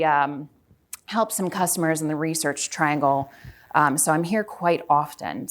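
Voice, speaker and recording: A woman in her forties from Houston, giving a chatty conference talk and asking the audience questions. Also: fairly low pitch. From Houston, Texas. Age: 40s. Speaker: conference speaker. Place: conference hall.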